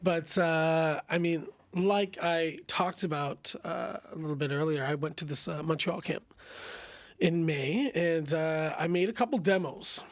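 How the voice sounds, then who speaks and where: A young man with a raspy voice, chatting casually and animatedly as a singer guest. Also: fairly high pitch, unhurried, no echo. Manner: casual, animated, says bro and man a lot. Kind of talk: casual chat.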